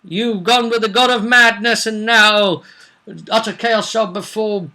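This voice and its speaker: Man voicing an accusing, high-pitched serpent priest. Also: steady tone, a little slow, pushed and bright, exaggerated voice. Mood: accusing, doom-laden.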